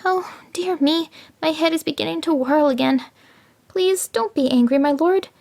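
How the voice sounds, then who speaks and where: A woman voicing a cunning male jackal who pretends to be slow-witted, with fluttery, flustered pleading. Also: high-pitched, vocal fry, a little mellow, pitched above the performer's own voice. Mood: feigned confusion.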